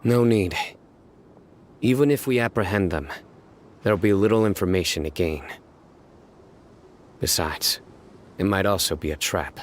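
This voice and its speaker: An actor playing a stern, guarded male investigator in a video game, speaking in a serious, measured, matter-of-fact way. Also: sits low, a little hiss or hum. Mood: grave, measured. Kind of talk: scripted video game dialogue.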